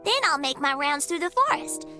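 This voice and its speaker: A woman's voice: high voice, very animated, normal speed. A woman voicing a brash, hot-tempered young forest guardian girl in a video game, talking bluntly and bossily over music. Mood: eager, cocky. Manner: blunt, bossy, scrappy tomboy talk. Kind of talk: English video game dub acting.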